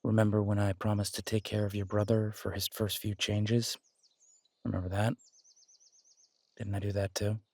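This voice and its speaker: Man acting as a husky, confident werewolf boyfriend. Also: fairly low pitch, flat delivery, moderate pace, pauses often, darkish tone, dry recording. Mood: earnest, soothing. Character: confident protective male alpha werewolf boyfriend, teasing and reassuring. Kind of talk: intimate roleplay audio drama.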